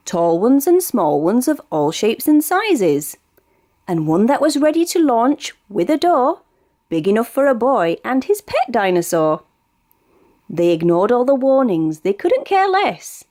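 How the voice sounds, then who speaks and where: British woman reading aloud, lively swooping pitch. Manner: expressive, sing-song storytelling. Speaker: storybook reader. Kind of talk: read-aloud of a rhyming children's picture book.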